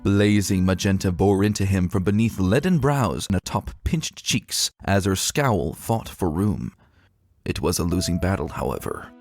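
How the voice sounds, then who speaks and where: A man with a fairly low voice reads a story as a dramatic narrator. His pitch moves in a very lively way and his phrasing is deliberate and expressive. Music plays behind him. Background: background music.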